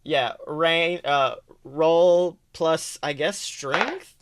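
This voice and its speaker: A man's voice: on the high side, drawn-out words, noisy background. A man casually running a tabletop game as game master, teasing and joking as he narrates. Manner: teasing, jokey. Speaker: game master, podcast host.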